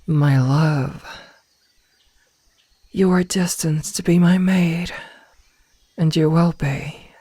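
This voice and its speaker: A woman playing a possessive, menacingly loving female werewolf in an ASMR roleplay, speaking softly and coldly, often slipping into a whisper. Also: low-pitched, breathy edge, dry recording, studio quality, full character voice. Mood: possessive, resolute. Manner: cold, callous, deliberate word delivery. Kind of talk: ASMR roleplay, slow intimate delivery.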